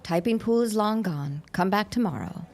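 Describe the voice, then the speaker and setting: A woman's voice: mid-range voice, animated, normal speed, a little mellow, lightly acted. A woman acting a brusque, flirtatious and world-weary secretary in a 1910s period audio drama, with curt, slangy, sardonic delivery. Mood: curt, dismissive.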